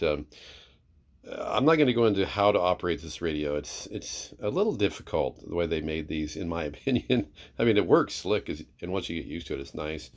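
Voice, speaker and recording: A man giving a casual, unscripted demonstration as a presenter, in an easygoing, somewhat hesitant way. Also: rumbling low. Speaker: presenter demonstrating a vintage radio receiver.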